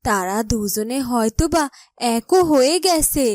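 Woman as an earnest, high-voiced loyal maidservant. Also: expressive, measured pace, a little mellow, no room sound, clean studio sound. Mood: pleased, earnest. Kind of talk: Bengali fairytale cartoon dialogue.

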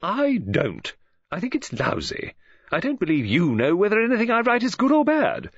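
An excitable older woman novelist, put on by a male reader, high-pitched and gushing, who hammers home every word. Her voice leaps wildly up and down. The recording is dry and slightly dull. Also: normal speed, husky, dry recording, heavily acted. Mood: exasperated.

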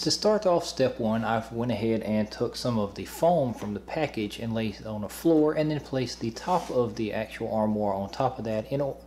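Young male host, mellow tone, plain step-by-step how-to read. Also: lowish voice. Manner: plain, matter-of-fact explaining. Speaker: host of a review channel. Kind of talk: instructional product assembly voice-over.

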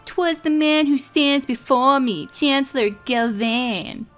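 A woman voicing a halting young male captive confessing a plot in an amateur video game dub. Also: high-pitched, fairly bright tone, soft background music.

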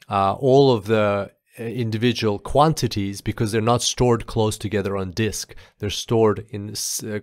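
Male tutorial instructor, lowish pitch, informal step-by-step delivery, dry close recording. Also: no room sound. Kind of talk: explanatory screencast tutorial narration.

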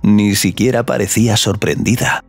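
A man's voice: fairly low pitch, fairly even intonation, normal speed, vocal fry, soft background music. A man narrating a scripted audio story.

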